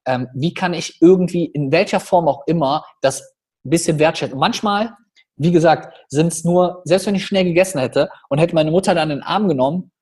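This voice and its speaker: A man with a Cologne accent talks on a podcast with a husky, slightly rough voice that sits a touch higher than most men's. He tells his stories in an animated way and throws in quick asides. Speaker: podcast guest, public speaker. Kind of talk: casual podcast conversation.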